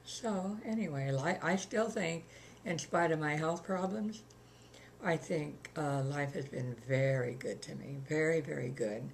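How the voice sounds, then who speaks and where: An older woman with a gravelly voice, chatting plainly about her life in an interview, with many ums. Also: low-pitched, unhurried, a little mellow, slight background noise. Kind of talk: casual reminiscing chat.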